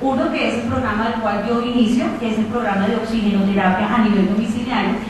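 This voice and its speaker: A woman's voice: fairly high pitch, fairly even intonation, fast talker. A woman speaking as a company representative on a panel, hesitant with fillers and self-corrections. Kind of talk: panel talk. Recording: echoey room from far off, strong noise.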